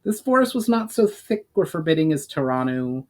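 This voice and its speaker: A man's voice: on the high side, very animated, moderate pace, a little mellow. A man giving a dramatic storybook narration, reading as the author of the tale. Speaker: author reading his own book.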